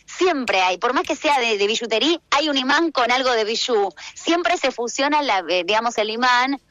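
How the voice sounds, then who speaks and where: A woman's voice: a little high, lively intonation, moderate pace, fairly bright tone, no echo. Chatty Argentine woman reporting live. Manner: chatty, effusive, informal. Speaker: roving radio reporter. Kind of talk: live street reporting and interviewing. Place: outdoor craft fair.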